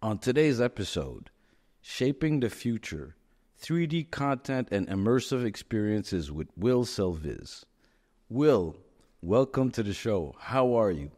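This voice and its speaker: A man's voice: lowish voice, big pitch swings, measured pace, a little mellow, in a large hall. A man hosting a podcast, friendly and encouraging toward his guest. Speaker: podcast host. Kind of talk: podcast interviewing.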